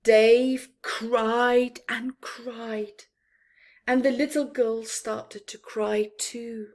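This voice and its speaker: A woman reading a picture book aloud to young children, slow and deliberate, with dramatic, hushed storytelling delivery. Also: fairly high pitch, little pitch movement.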